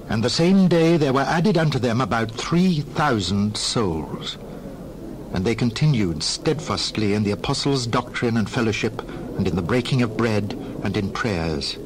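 A man narrating a dramatized Bible reading in a measured, formal storytelling manner. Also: mid-range voice, expressive, poor audio. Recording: clear background noise.

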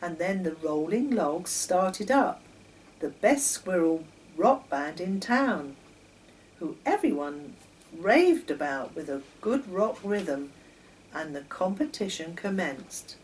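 Woman in her sixties, slow storybook reading, recorded from a distance in a kitchen. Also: lowish voice, very animated, darkish tone. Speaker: children's story author and reader. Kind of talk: reading a children's story aloud. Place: home kitchen, indoors. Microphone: camera a few metres away. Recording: distant camera mic, faint noise.

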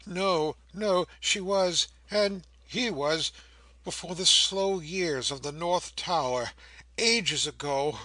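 Man acting a frail, anguished old prisoner, pitched up. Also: bright, forceful tone, no echo. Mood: anguished disbelief. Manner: halting, repetitive, exclamatory questioning.

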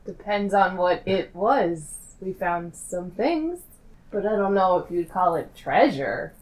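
A woman's voice: on the low side, expressive, slow, distant mic, low-quality recording. A woman player in a tabletop game, chatting casually in a flippant, joking way. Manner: flippant, playful.

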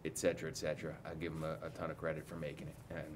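A man's voice: extremely low pitch, monotone, racing, noisy background. A man in his forties, a head coach, giving candid, rambling post-game answers full of restarts, seated at a table mic in an indoor press room.

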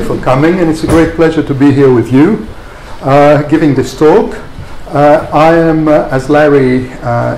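A man in his sixties from Greece giving an academic lecture in a reflective, hesitant way with frequent pauses. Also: mid-range voice, darkish tone. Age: 60s. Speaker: guest lecturer, professor. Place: wood-panelled seminar room, indoors. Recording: echoey room, audible background noise.

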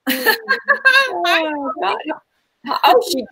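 A woman's voice: very high-pitched, big pitch swings, extremely slow, sharp tone. A woman host bantering playfully on a video call and joking around with mock French phrases. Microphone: webcam on a video call.

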